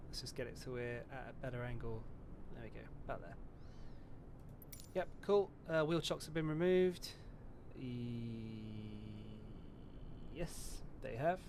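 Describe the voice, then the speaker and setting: Chatty gravelly-voiced man talking flight sim. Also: mid-range voice, animated, slow pace, long pauses, noisy background. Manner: chatty, swears, rambling, self-mocking. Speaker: flight sim video host. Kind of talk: casual talk over a flight sim checklist.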